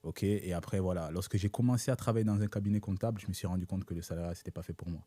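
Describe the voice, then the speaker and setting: Deep, mellow-voiced young man. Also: fairly even intonation, dry recording. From born in Italy, raised in Africa. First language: not French. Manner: measured, checks in with "ok?" and "d'accord?". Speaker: guest entrepreneur. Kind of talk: casual interview talk.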